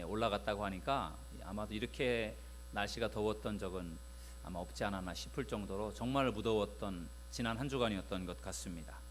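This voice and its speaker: A male pastor giving a Sunday sermon in a conversational way, often pausing on filler sounds while he retells a Bible story to the congregation. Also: lowish voice, slight background noise.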